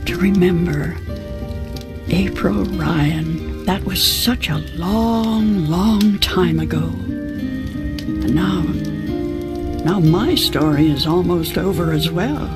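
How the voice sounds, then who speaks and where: An actress playing an elderly, wise woman telling a story in a video game, speaking gently and slowly. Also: fairly low pitch, music in the background. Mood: gentle. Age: older.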